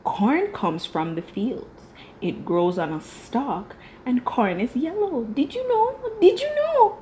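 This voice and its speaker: A woman puts on a patronizing adult who spells out the obvious in a slow, sing-song drawl. The pitch rides far above a normal speaking voice. Every sentence sounds like a lesson for a small child. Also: very animated, mellow, slight background noise, heavily acted. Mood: condescending.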